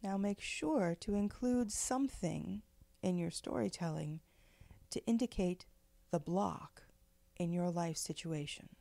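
A woman leading a guided meditation in a gentle, measured, soothing way, with pauses between phrases. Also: on the low side, vocal fry, no echo. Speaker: guided meditation leader, spiritual director.

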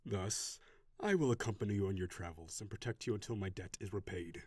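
A man voicing a proud, gruff tsundere dragon warrior in an audio roleplay, brusque and defensive with grudging sighs. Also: on the low side, fairly quick, a little mellow, no echo, natural voice. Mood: grave, gruff.

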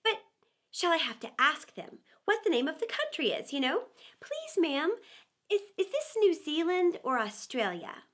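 Woman voicing a high, animated, curious little girl. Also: unhurried, full character voice. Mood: wondering. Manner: musing aloud to herself, earnest. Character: curious, chatty little girl tumbling into wonder. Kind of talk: children's storybook read-aloud.

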